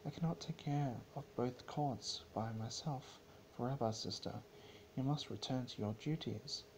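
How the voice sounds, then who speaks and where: Male reader as younger pony princess, firm, pleading, exasperated, raised pitch, storybook drama, slight background noise. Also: a little slow, lightly acted, rough recording.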